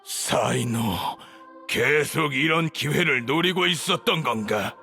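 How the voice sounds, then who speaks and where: Casting: haughty older scholar-villain for a game, gravelly, slightly high voice, pompous authority. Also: male voice, animated, takes it slowly, sharp tone, faint music behind, full character voice. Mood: indignant, cornered. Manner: pompous, commanding, indignant. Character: arrogant older male grand sage villain. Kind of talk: dramatic game voice acting.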